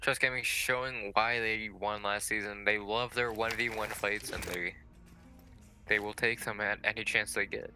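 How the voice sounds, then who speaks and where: A man giving measured, explanatory analysis as a colour commentator on a live video game match. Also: on the low side, fairly even intonation, bright, forceful tone, soft background music. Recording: clearly noisy signal with clicks.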